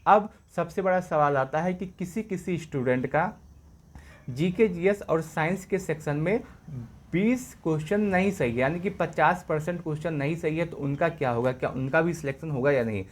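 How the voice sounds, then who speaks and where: A man's voice: on the high side, moderate pace. A man presenting exam updates as an informal explainer, going over points repeatedly in a reassuring way.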